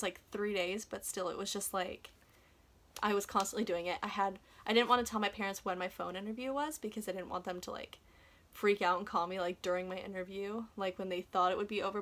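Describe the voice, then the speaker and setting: A woman's voice: mid-range voice, pushed and bright. A young woman talking casually in a chatty, self-deprecating way, like a vlogger sharing advice. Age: young adult.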